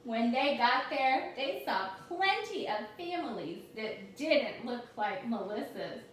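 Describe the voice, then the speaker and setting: High, singsong woman reading in an echoey room. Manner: expressive, addresses children directly, pausing to ask questions. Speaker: teacher reading a storybook to a class. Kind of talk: read-aloud story time for young children with questions to listeners. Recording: very echoey room, distant mic, faint noise.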